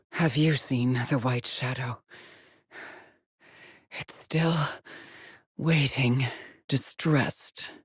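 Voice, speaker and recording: A haunted lone female space explorer from a sci-fi video game, speaks in a low, husky voice. She is measured and solemn, weary yet determined, and often breathy, as if logging her findings alone. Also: no echo, clean studio sound, exaggerated voice. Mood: eerie, reflective. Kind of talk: dramatic game voice lines, short log entries.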